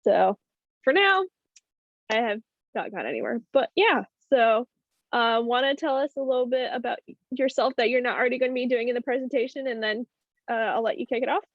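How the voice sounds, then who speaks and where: A chatty woman host with a slightly high voice, talking casually with her guest. The sound is a dull, dry video call recording. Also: brisk, no echo. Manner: chatty, laughs easily. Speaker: show host. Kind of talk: casual chat.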